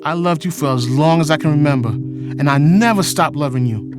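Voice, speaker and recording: A man's voice: fairly high pitch, fairly quick, lightly acted. An actor plays a middle-aged retired policeman in an audio drama, a man pleading for an old love. He is dramatic and insistent, stretching out his declarations. Prominent music plays behind him. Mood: ardent pleading. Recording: loud music under the voice.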